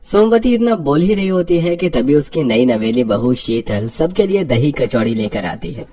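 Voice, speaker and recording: A man's voice: mid-range voice, big pitch swings, normal speed, warm tone, soft background music. A man narrating a scripted cartoon story as the storyteller.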